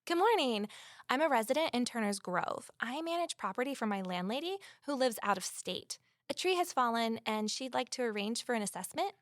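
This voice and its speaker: Actress as earnest lady sleuth telling her mystery, voice a bit high, audio drama. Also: expressive, a little fast, no room sound, studio quality, natural voice. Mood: polite, careful. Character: Evelyn, earnest, scheming amateur sleuth and narrator. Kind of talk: audio drama narration and dialogue.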